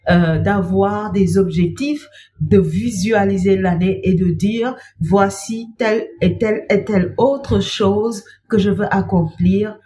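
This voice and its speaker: Slow-speaking woman with a cold. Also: medium pitch, slight background noise. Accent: French. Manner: slow, gentle, repeats "bien-aimé" and pauses with "euh". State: has a cold. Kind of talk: devotional talk to camera.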